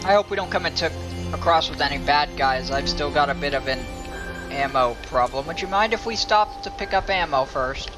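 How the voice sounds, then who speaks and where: Higher-voiced man doing game commentary. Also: brisk. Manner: rambling, thinking aloud. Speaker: gaming channel host. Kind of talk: casual let's play commentary. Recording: game music behind the voice.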